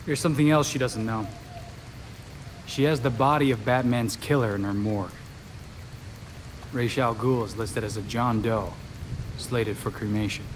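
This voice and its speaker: A man's voice: medium pitch, moderate pace, pauses often, noisy background, lightly acted. An actor playing a frank young hero leading his team in a game, matter-of-fact with a wry edge. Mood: matter-of-fact, subdued. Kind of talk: cinematic game dialogue.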